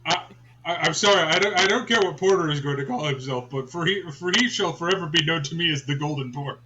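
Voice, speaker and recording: A man's voice: on the high side, quick pace, muffled recording, heavy background noise. A man playing in a tabletop game, joking around and speaking with some hesitation. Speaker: player.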